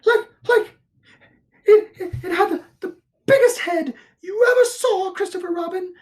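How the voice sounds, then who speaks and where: A woman's voice: extremely high pitch, a little slow, darkish tone, pitched above the performer's own voice. A woman voicing a small, timid male piglet who is anxious, breathless and prone to stammering.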